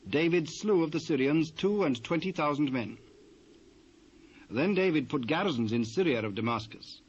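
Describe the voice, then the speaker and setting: A man with a British accent narrating scripture in a formal, measured way. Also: medium pitch. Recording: dull, faintly noisy old cassette transfer.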